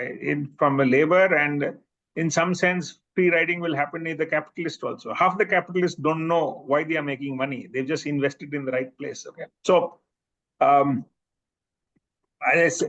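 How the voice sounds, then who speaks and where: A man with an Indian English accent, a professor answering audience questions in a thoughtful way, pausing with fillers and restarting sentences. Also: a little high, steady tone, a bit bright. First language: Bhojpuri. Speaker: presenting professor. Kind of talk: academic Q&A answer. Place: seminar room. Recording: slightly muffled recording.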